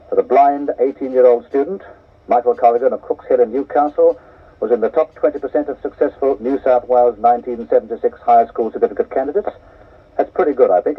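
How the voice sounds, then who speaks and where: A man's voice: mid-range voice, slightly rough. A man chatting between records as a radio disc jockey, in a friendly, conversational way. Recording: tinny cassette of an off-air radio broadcast.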